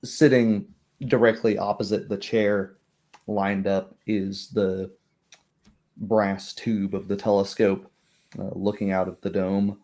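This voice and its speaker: A man narrating a tabletop roleplaying game as game master, describing scenes slowly and in detail. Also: lowish voice, flat delivery, darkish tone.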